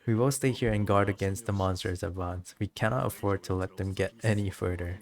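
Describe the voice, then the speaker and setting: Man reading as a low-voiced loyal samurai soldier. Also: fairly even intonation, brisk. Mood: resolute. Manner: earnest, formal. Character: loyal, steadfast samurai soldier devoted to his ruler.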